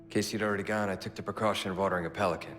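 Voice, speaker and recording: An actor playing a sympathetic navy commander in a video game, earnest and restrained, with a creaky low voice. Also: male voice, steady tone, racing, fairly bright tone. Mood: confiding, earnest. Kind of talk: cinematic video game acting. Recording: echoey reverb, music behind.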